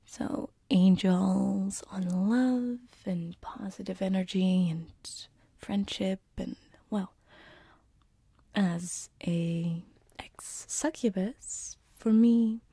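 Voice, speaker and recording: A woman playing a shy, affectionate female demon in an ASMR roleplay, speaking softly and hesitantly, often whispering, with a breathy voice. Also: mid-range voice, extremely slow, dry recording, clean studio sound, full character voice. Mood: apologetic, explaining.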